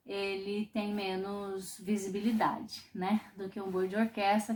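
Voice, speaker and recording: A woman from Brazil teaching a popular singing class, explaining in a thoughtful way with frequent pauses and restarts. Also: medium pitch. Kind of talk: online music lesson.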